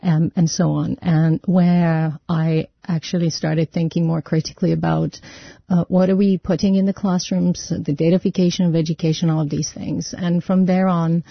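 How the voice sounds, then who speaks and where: A woman speaks as a radio guest, pausing often and filling gaps. Her voice is gravelly and fairly flat in pitch. The sound is dry and somewhat muffled. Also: dry recording. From Bulgaria. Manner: hesitant, many fillers, laughs easily. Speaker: education technology consultant and lecturer.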